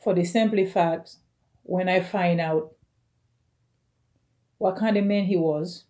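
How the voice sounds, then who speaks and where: Slow-speaking woman in her forties. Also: on the low side, warm tone. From Caribbean. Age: 40s. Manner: slow, halting, phrase by phrase. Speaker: vlogger. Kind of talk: personal video message.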